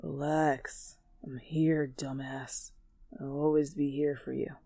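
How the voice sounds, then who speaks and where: A woman voicing a gruff, foul-mouthed young man being tender in a dramatic fan fiction reading, in a low blunt murmur. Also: flat delivery, slow pace, dark tone, no room sound, full character voice.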